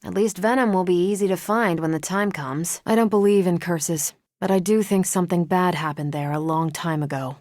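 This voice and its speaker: A woman's voice: fairly low pitch, moderate pace, few pauses, a little mellow, dry recording, studio quality, close to an everyday voice. A woman playing a confident, wry, competitive superhero soldier in a video game, talking in casual, self-assured banter. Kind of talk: video game voice acting, conversational dialogue.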